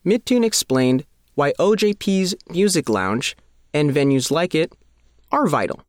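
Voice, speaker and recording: High-pitched, animated man reading news. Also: unhurried, no room sound, clean studio sound. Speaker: reporter reading their own article. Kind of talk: scripted feature narration.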